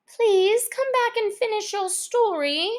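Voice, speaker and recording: A high, lilting little girl from a storybook, played by a woman. She is polite, earnest and naive, with a pleading edge to her words. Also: expressive, slow pace, heavily acted.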